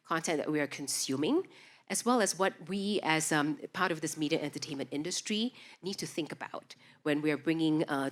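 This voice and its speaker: Woman, panel moderator, upbeat, filler-heavy, lowish voice, stage mic. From Indonesia, raised in Singapore. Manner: upbeat, fact-listing, frequent fillers. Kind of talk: live panel introduction. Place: festival stage. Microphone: stage microphone.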